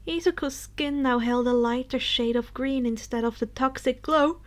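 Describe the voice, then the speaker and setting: A woman narrating a story in a dramatic, expressive storybook style, with some phrases breathy and hushed. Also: high-pitched, moderate pace. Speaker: fan fiction narrator.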